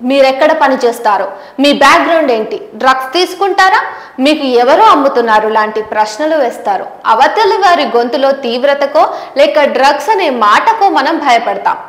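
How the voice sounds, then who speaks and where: Female news presenter, high voice, scripted explainer delivery, echoing room. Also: expressive, normal speed. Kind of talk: scripted explanatory narration to viewers. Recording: roomy, echoing voice recording.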